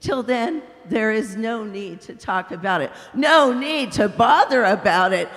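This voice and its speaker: A woman voicing a serene, gentle man's spirit who answers calmly and slowly. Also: fairly high pitch. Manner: calm, gentle, unhurried. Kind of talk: audiobook character voice. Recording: long hall reverb.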